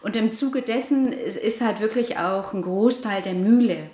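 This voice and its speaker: An Iranian-born woman in her forties speaks at a middling pitch, measured and reflective, often pausing and restarting her sentences. The recording has a roomy echo around her voice. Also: warm tone. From Iran. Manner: thoughtful, many fillers and restarts. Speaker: interviewee, housing project resident. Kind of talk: casual interview answers.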